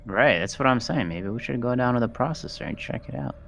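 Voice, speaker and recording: A man's voice: medium pitch, expressive, fast, vocal fry, fairly bright tone. A man chatting casually as a player in a tabletop game. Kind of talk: casual chat.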